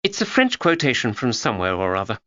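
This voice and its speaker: Guy doing a cheeky know-it-all schoolgirl, bright voice bouncing all over. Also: medium pitch, huge pitch swings, quick pace, no room sound, muffled recording. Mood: lecturing. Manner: chatty, lecturing and cheeky. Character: bossy know-it-all schoolgirl, chattering, gossipy. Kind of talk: audiobook character voice.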